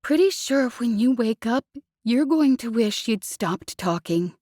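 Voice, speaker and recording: Woman reads as tearful young woman pilot, high, sobbing, breathy, storybook reading. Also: expressive, measured pace, darkish tone, dry recording, clean studio sound. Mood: dry, weary. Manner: angry, sobbing, swearing. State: crying.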